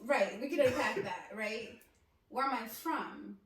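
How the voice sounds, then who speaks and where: A woman from Kenya chatting as a podcast guest, talkative and quick to laugh. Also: a little high, over a noisy crowd. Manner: chatty, laughs as she talks. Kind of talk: casual chat. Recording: echoey room, distant mic.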